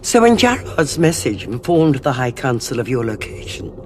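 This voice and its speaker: A woman playing a composed emissary of a high council in a science fiction audio drama, measured, formal and dryly ironic. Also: sing-song, noisy background. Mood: coolly ironic.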